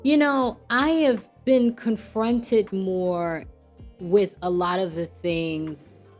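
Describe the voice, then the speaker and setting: A woman's voice: mid-range voice, very slow, faint music behind. An American woman hosting a show, telling personal stories in a casual, conversational and reflective way, often checking in with her listeners. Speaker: podcast host.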